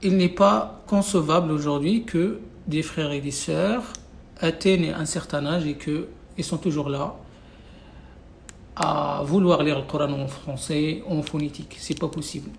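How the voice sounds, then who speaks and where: A man's voice: fairly high pitch, unhurried, vocal fry, slight background noise. A man whose first language is Arabic, teaching a live online class in a casual, insistent way, repeating himself with many fillers and restarts. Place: away from home, no whiteboard.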